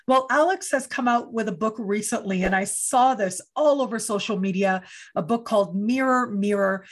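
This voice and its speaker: An effusive woman hosts an online interview, her voice sitting at an ordinary middle pitch. She gushes over her guest and promotes the show with upbeat enthusiasm. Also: normal speed. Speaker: host.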